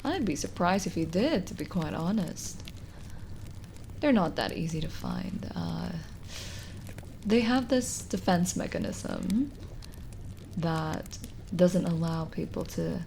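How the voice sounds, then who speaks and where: A woman playing a lonely, kindly witch in an ASMR roleplay, speaking gently and coaxingly with hesitant pauses and drifts into whispering. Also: fairly low pitch, lively intonation, creaky, slight background noise, exaggerated voice. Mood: gently curious. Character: lonely, kindly forest witch, soothing and hospitable. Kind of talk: ASMR roleplay audio drama.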